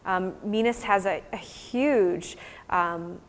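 American woman, lowish voice, hall reverb, academic question and answer, thoughtful explaining researcher. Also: slow pace. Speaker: researcher presenting fieldwork and answering audience questions.

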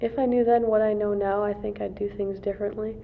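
Hesitant young woman, gravelly voice. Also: mid-range voice, little pitch movement, fast, slight background noise. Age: 20s. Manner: hesitant, many fillers and restarts. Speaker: college student interviewee. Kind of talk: casual interview answers. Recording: tinny phone-like lo-fi recording.

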